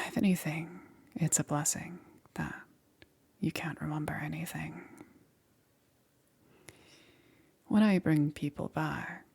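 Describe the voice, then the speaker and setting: Casting: a woman plays soft-spoken witch necromancer for ASMR roleplay; gravelly fry, husky half-whisper, calm and eerily gentle. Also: deep voice, full character voice. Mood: calm, musing. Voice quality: breathy, often dropping into whisper. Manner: unhurried, halting with pauses, soothing.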